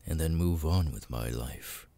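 A man voicing a cold, arrogant immortal demon lord in an ASMR roleplay, speaking with deliberate, condescending menace. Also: dark tone, full character voice. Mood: cold threatening. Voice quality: very deep, gravelly, husky. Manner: slow, deliberate, condescending, with long pauses.

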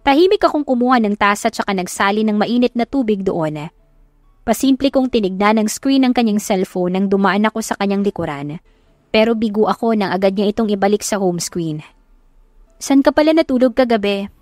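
A Filipino woman narrates a dramatic love story in the first person. She is recorded close and dry, with music playing behind her mid-pitched voice. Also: fairly quick, no echo. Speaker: audiobook narrator.

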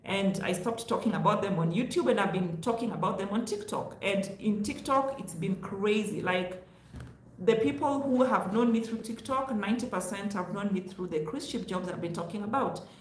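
A woman's voice: medium pitch, moderate pace, slight background noise. A middle-aged woman with a Kenyan accent giving casual, emphatic advice to viewers as a vlog host. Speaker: host, careers advice vlogger. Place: small indoor room, home office. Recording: echoey untreated room.

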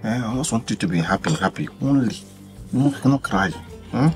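An actor with a Nigerian accent playing a doting, soft-hearted boyfriend in a film drama, coaxing and repeating himself. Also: male voice, mid-range voice, lively intonation, normal speed, faint music behind, lightly acted. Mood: soothing, pleading. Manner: coaxing, repetitive, punctuated with "eh". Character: doting, soft-hearted boyfriend soothing his crying woman.

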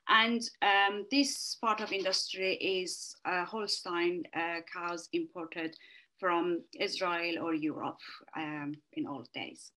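A woman from Iran with an Iranian accent, giving an academic talk over a video call, halting, with many fillers and restarts. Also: lowish voice, steady tone, pushed and bright, low-quality recording. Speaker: research fellow presenting a webinar talk. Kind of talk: online academic presentation.

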